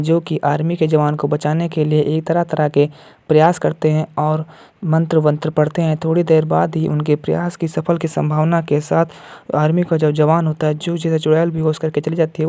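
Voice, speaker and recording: A man's voice: a little high, vocal fry, warm tone, no echo. A man narrating an amateur cartoon story as the storyteller.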